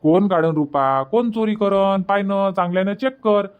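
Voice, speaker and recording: Cartoon village husband, male performer, exasperated and insistent, pitched well up, Varhadi Marathi accent. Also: normal speed, clear, resonant tone, narrow, tinny audio, natural voice. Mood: dismissive. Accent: Varhadi Marathi from Vidarbha. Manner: insistent, repetitive, reproachful. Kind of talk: cartoon comedy dubbing.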